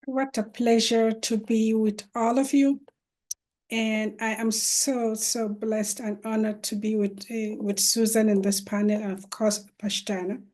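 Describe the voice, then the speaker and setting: A woman's voice: on the high side, flat delivery, measured pace, darkish tone. A middle-aged woman from northern Nigeria, speaking earnestly as a panelist on a video call, with fillers and restarts. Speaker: panelist, law professor and educator. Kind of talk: virtual panel talk.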